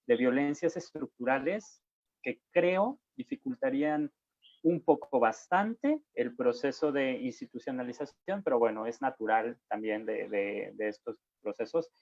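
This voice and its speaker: A man's voice: mid-range voice, a little slow, darkish tone, dry recording. A young man talks through a video call, hesitant and full of fillers. The sound is dull and lo-fi. It is dry, with no room around it. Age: young adult. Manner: hesitant, many fillers and restarts. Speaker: panelist, young political activist. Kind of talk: online panel discussion.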